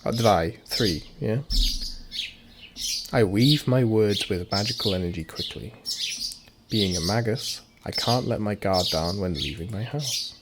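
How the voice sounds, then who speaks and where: A man's voice: lowish voice, measured pace, heavy background noise. A man streaming a visual novel, reading its text aloud in a casual, stumbling way and commenting between lines. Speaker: let's play streamer. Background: loud game music.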